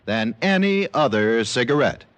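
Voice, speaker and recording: A man's voice: medium pitch, lively intonation, measured pace, a bit bright, clear, resonant tone. A man as a radio announcer reading a scripted 1940s advertisement in an emphatic sales delivery.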